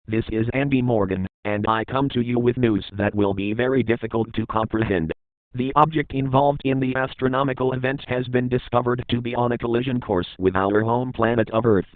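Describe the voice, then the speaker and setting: A man's voice: mid-range voice, fairly even intonation, fairly quick, dry recording, close to an everyday voice. A man playing a despairing news broadcaster in a dramatized doomsday broadcast, grave and emotional. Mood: grave, resigned. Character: despairing male newsman announcing the end of the world. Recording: tinny phone-like band, dry.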